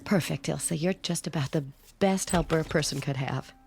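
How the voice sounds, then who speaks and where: An actress playing an anxious, grieving housewife who is possessively tender, speaking in a hushed, halting, strained way in a radio drama. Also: female voice, sits low, gravelly, slight background noise. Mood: uneasy, guarded. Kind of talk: naturalistic radio drama acting.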